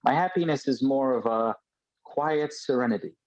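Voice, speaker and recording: A man's voice: medium pitch, normal speed, a little mellow, close to an everyday voice, poor audio. A man playing a serene, reserved, devoted partner with understated delivery, in a live play reading over a video call. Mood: quiet affection.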